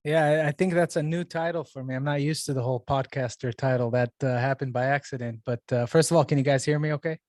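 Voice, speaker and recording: A man's voice: medium pitch, fairly even intonation, fast, few pauses, a little mellow, dry recording, clean studio sound. A middle-aged man speaking casually and off the cuff as a panel guest on a video call, with conversational, hesitant phrasing. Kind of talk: casual, unscripted self-introduction.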